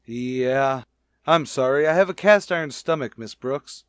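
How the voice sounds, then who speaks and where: An American actor playing a shy, naive bachelor schoolteacher, hesitant and earnest, in a vintage radio sitcom. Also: male voice, medium pitch, unhurried, clear, resonant tone, dry recording, studio quality, close to an everyday voice. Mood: bashful, earnest. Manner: hesitant, earnest, literal-minded.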